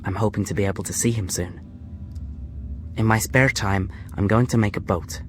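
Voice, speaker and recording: A man's voice: lowish voice, normal speed, noisy background, close to an everyday voice. An actor playing an earnest, anxious young male American prisoner, a devoted son, in a radio drama. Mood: hopeful, yearning. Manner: hesitant, earnest.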